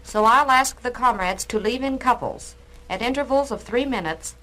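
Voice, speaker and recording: A woman's voice: a little high, moderate pace, sharp tone. A woman playing a stern, businesslike communist cell organizer in a formal, authoritative way, on a dramatized spoken-word record. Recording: noisy 1960s spoken-word LP.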